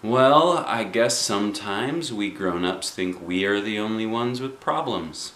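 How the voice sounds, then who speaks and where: Man playing a weary, low-voiced school principal. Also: animated, a little slow, fairly bright tone, roomy sound, slight background noise. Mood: sympathetic. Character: weary, patient middle-aged male school principal. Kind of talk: children's storybook read aloud.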